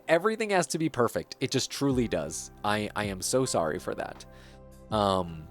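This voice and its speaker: A man chatting casually at his home streaming setup, his pitch jumping around in a lively, animated way as he rambles and hunts for words. He sounds a little stuffy, close on a dynamic streaming mic, with faint music playing behind him. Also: gravelly. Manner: rambling, searching for words, self-correcting. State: slightly stuffy. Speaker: gaming streamer. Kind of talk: casual gameplay chat. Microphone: dynamic streaming microphone. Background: faint background music.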